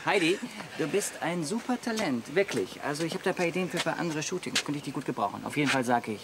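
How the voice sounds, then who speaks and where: A man's voice: on the high side, lively intonation, quick pace, background noise. An actor playing a fussy, bossy, easily exasperated male photographer in a radio play. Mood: pleased. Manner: bossy, gushing at models. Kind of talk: German radio play acting.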